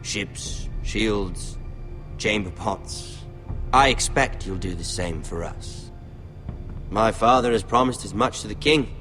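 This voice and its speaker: A sadistic, playful fantasy lord in a lowish voice. He speaks slowly and deliberately, mocking with false courtesy. Music plays softly underneath. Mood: menacing taunts. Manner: taunting, deliberate, mock-polite. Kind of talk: dramatic fantasy game acting.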